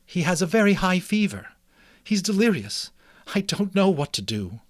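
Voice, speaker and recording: Man as a worried mother mouse, pitched up. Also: sing-song, normal speed, dry recording, clean studio sound. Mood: anxious. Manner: earnest, pleading, polite. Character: worried widowed mother mouse, polite and earnest. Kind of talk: dramatic storybook character reading.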